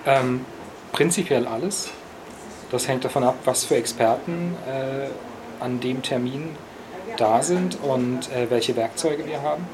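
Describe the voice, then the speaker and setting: A man's voice: medium pitch, measured pace, background noise. A man explaining how repairs work, thorough and full of hesitations. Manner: explains in detail with many hesitations. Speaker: repair expert. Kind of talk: interview answers.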